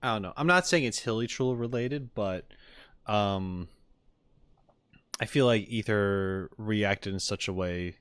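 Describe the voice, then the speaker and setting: A man doing casual live reaction commentary as a game streamer, musing and haltingly thinking aloud. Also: fairly low pitch, a bit bright, clear, resonant tone, no room sound. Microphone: close streaming mic.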